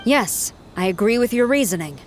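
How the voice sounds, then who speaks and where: An actress playing a stern, dutiful military commander, formal and authoritative, in a video game. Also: female voice, on the high side, expressive, normal speed, a little hiss or hum, natural voice. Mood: stern, businesslike. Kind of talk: game dialogue. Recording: clear music behind the voice.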